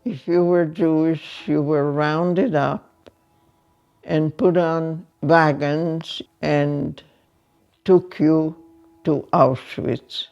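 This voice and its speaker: A woman, giving slow, measured spoken testimony with long pauses. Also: deep voice, fairly even intonation, gravelly, darkish tone. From Hungary. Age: 90s. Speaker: Holocaust survivor giving testimony. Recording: dull lo-fi sound with faint music underneath.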